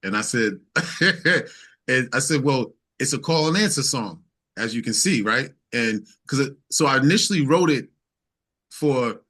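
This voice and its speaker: Male musician being interviewed, bright clear-cut voice, medium pitch, easygoing informal storytelling. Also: lively intonation, a little slow. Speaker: interview guest, singer-songwriter. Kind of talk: casual storytelling chat.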